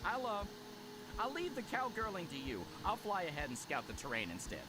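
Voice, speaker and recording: A man's voice: sits high, wildly swooping pitch, brisk. A man voicing a small, cocky, chatty flying bird companion in a video game, with a jaunty, wisecracking manner. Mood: jaunty, scheming. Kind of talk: video game character dialogue. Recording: game audio under loud ambient noise.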